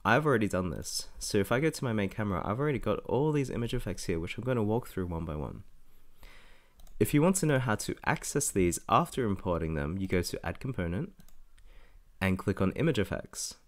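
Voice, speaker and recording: A young man, a little raspy from being sick, walking through a software tutorial in a casual, self-correcting way. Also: lowish voice, lively intonation, fairly quick. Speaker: tutorial host. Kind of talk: screen-recorded software tutorial.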